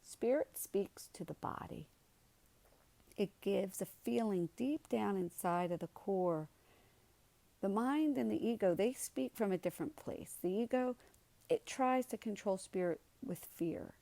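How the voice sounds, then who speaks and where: A woman leading a guided meditation in a soothing, unhurried way, with soft, gentle delivery and pauses. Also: medium pitch, expressive, gravelly, no room sound.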